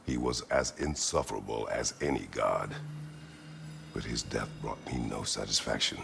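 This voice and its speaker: Very deep gravelly brooding warrior. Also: male voice, steady tone, noisy background, heavily acted. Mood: somber, resolute. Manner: terse, grave, measured, formal. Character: stern, brooding, weary male warrior with a violent past. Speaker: video game voice actor. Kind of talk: cinematic video game acting.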